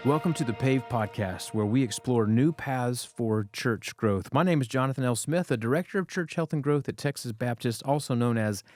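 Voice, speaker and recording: A man's voice: medium pitch, normal speed, hardly any pauses, darkish tone, soft background music. A man with an American accent hosting a podcast in a casual, effusive way, often repeating his phrases. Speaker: podcast host, church growth director.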